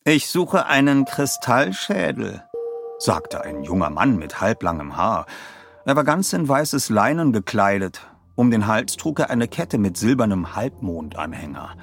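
A man reading scripted audiobook narration in an expressive storytelling manner. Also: fairly low pitch, normal speed.

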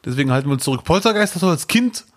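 Podcast co-host guy, deadpan ironic jokes, easygoing chat. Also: a little high, racing. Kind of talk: casual chat.

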